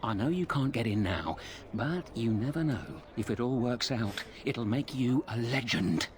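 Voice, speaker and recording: A man's voice: medium pitch, expressive, unhurried, noisy background, natural voice. A man acting a confiding fantasy game informant. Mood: confiding, hopeful.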